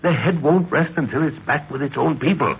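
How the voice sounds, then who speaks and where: An actor playing an older, obsessed and commanding scientist-explorer in a 1930s radio adventure serial, speaking in an emphatic, declamatory way. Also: male voice, a little high, brisk, breathy edge. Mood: stubborn. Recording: dull, noisy 1930s radio recording.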